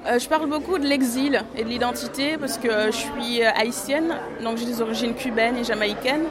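Young woman interviewed on radio, lots of euh, laughs a lot, noisy crowd around, voice a bit high. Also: a little fast. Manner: hesitant, many fillers, laughs often. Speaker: artist photographer, interviewee.

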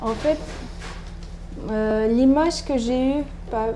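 A woman's voice: high voice, slow pace, mellow. A woman in her thirties from Turkey, a native Turkish speaker, answering interview questions in a casual, hesitant way with many fillers and restarts. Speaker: interviewee, doctoral student. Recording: background noise.

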